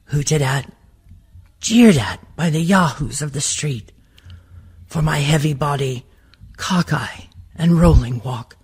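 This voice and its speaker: A woman playing a mocked, bitter village poetess in a radio play monologue, with a raspy, breathy voice. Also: deep voice, a little mellow, exaggerated voice.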